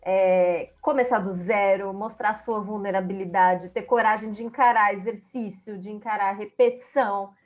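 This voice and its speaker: A woman's voice: mid-range voice, fairly even intonation, moderate pace, few pauses. A woman with a Brazilian Portuguese accent speaking on a panel about language learning, reflective and enthusiastic, often repeating her phrases. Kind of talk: panel talk.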